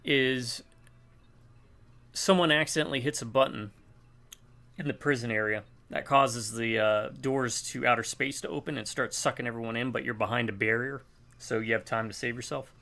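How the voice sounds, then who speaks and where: A man's voice: medium pitch, fairly even intonation, moderate pace, gravelly, pushed and bright. A man chatting casually while gaming, telling a long rambling story and acting out bits of dialogue. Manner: rambling storytelling, acts out quotes. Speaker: gamer co-op player.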